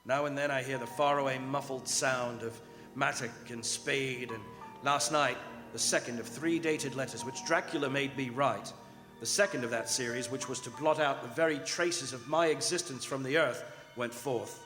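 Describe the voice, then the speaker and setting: Radio-theatre role: terrified young gentleman prisoner acted by a man, dramatic and desperate, reverberant hall with clear musical underscore. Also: mid-range voice, moderate pace, soft background music, lightly acted. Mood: haunted dread. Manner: dramatic, anguished diary narration. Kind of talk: old-time radio drama acting on stage. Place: performing arts center stage.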